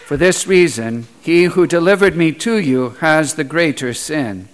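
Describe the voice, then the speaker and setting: A man plays a condemned holy man in a scripture drama, calm and resolute. The voice sits a little high for a man and moves with solemn deliberation. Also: unhurried.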